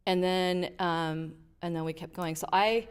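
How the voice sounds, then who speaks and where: A middle-aged woman, a guest on an interview podcast, telling stories from her life in an animated way and acting out the voices in them. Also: on the low side, a little slow, clean tone. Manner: animated storyteller, acts out quotes. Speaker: guest, leadership advisor. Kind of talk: casual interview storytelling.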